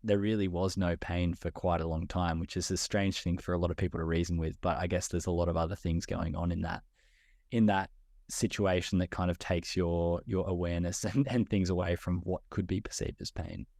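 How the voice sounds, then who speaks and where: A young Australian man answering interview questions in a thoughtful, measured way, often repeating words as he thinks. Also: low-pitched, level tone, darkish tone, dry recording, clean studio sound. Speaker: interview guest, public speaker.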